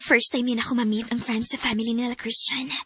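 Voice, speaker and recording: An actress playing an emotional young wife slighted by her in-laws in a radio drama, expressive and plaintive. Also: on the high side, brisk, slightly rough. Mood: anxious, hopeful.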